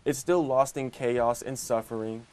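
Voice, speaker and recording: A man's voice: mid-range voice, steady tone, a little mellow, a little hiss or hum. Male TV reporter narrating a feature. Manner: measured, dramatic reportage. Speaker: TV news reporter. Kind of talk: scripted news narration. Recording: music bed underneath.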